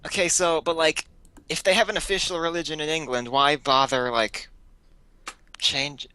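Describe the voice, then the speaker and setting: A man's voice: fairly high pitch, fairly even intonation, moderate pace, sharp tone, clear, resonant tone. A young American man co-hosting a comedic story reading, chatting casually in a puzzled, self-deprecating way. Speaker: co-host of a comedic read-aloud show. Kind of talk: casual chat, joking commentary.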